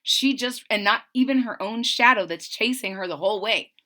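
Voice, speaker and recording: A woman reading a children's story aloud in an expressive style, with simple retelling asides. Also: mid-range voice, normal speed.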